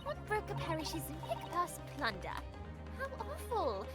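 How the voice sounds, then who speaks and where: A woman's voice: very high-pitched, huge pitch swings, moderate pace, over loud music, voice pushed up, full character voice. A woman voicing a polite, delicate young woman witness. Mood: dainty.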